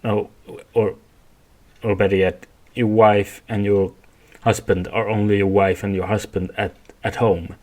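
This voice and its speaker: A Swedish man hosts a solo podcast in accented English. His voice sits on the low side. He speaks very slowly, feeling his way through an argument with repeats and rephrasing. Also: little pitch movement, a little mellow. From Sweden. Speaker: podcast host. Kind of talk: rambling solo podcast monologue.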